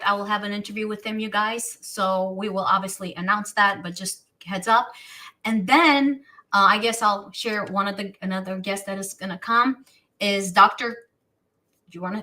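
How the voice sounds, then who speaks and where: Bright-voiced Romanian-accented woman host. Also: mid-range voice, measured pace. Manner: excitable, gushing, restarts often. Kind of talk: casual livestream chat.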